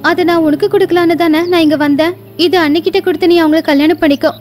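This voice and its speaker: A woman voicing an earnest, devoted young bride and sister in an animated bedtime story, speaking in a sincere and emphatic way. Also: very high-pitched, racing, voice pushed up. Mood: confiding.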